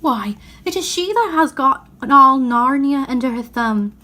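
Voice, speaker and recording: A woman's voice: high voice, animated, measured pace, sharp tone, exaggerated voice. A woman reading a storybook, voicing a sorrowful, guilt-ridden male faun with a lamenting, self-reproaching manner. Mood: guilty, confessing.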